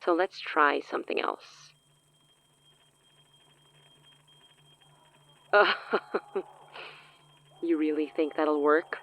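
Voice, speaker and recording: A woman's voice: deep voice, fairly even intonation, slow, dry recording, lo-fi sound. A woman playing a smug, menacing sorceress in an audio drama, theatrical and taunting with amused condescension. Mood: coolly probing.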